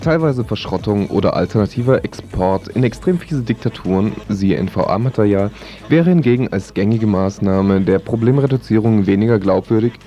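A man reads scripted satire for radio in a mellow, dark tone. His voice is on the low side, and music plays quietly behind him. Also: expressive, moderate pace, muffled recording.

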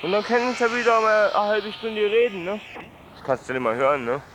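A man's voice: high voice, sing-song, fairly quick. A man chatting casually and emphatically in a street interview, slangy and repeating himself, with many tag questions. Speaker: passer-by being interviewed. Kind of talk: casual spontaneous street chat. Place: outdoors on a busy street. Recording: clear street and traffic noise.

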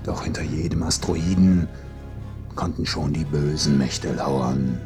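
A man with a very deep voice narrates slowly in a hushed, mock-dramatic way. Faint music sits behind his words. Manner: hushed, whispering in places.

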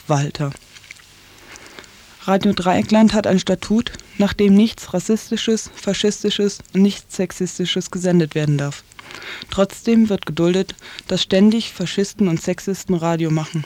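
Mellow-voiced woman reading a firm statement. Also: lowish voice, slight background noise. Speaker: activist spokesperson.

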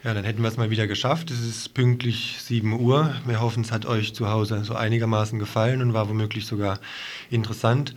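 A man's voice: lowish voice, little pitch movement, fast, noisy background. A man hosting community radio news, speaking in an informal, plain-spoken way as he signs off. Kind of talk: informal live sign-off.

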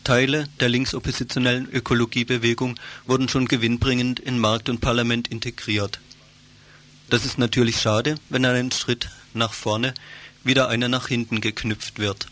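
A man's voice of medium pitch reads out a scripted political commentary. He speaks formally, in measured written sentences, as a radio commentator would. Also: flat delivery, a bit bright.

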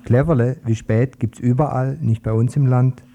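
A man's voice: medium pitch, fairly even intonation, moderate pace, warm tone, highs cut off. A man giving a dry, sardonic radio commentary.

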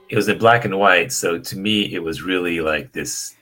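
Very deep, husky American man. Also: moderate pace, pushed and bright, soft background music. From United States. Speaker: author interviewed about horror films. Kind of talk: conversational interview answers. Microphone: video call.

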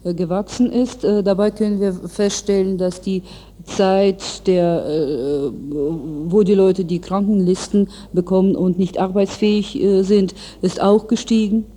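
A woman interpreting sentence by sentence in an interview, with halting phrasing as she searches for words. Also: medium pitch, steady tone, mellow. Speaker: consecutive interpreter between Russian and German.

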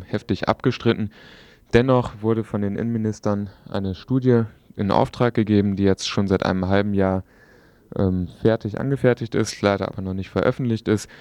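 Low-voiced man hosting radio news. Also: darkish tone, no echo. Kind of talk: informal programme announcements.

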